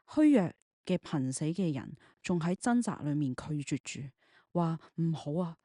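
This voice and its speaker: A woman's voice: lowish voice, dark tone, dry recording, clean studio sound. A woman, narrating a scripted audio drama in the third person.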